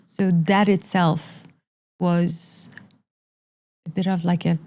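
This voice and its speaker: A woman's voice: lowish voice, flat delivery, slow, darkish tone. A woman in her thirties, originally from Iran, speaking candidly and with emphatic repetition as an interview guest. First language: Persian.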